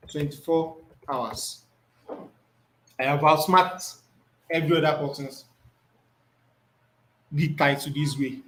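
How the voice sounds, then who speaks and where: A man's voice: fairly high pitch, fairly bright tone, low-quality recording. Man teaching a screen tutorial. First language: Yoruba. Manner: thinks aloud, repeats words while reading off the screen. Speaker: instructor.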